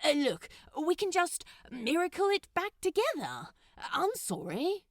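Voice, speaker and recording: A woman's voice: extremely high pitch, huge pitch swings, measured pace, no echo, studio quality, voice pushed up, full character voice. A woman voicing a flustered male demon in a story reading, stammering apologies and snapping defensively. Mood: flustered, apologetic. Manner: stammering, pleading. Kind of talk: dramatized fan fiction reading.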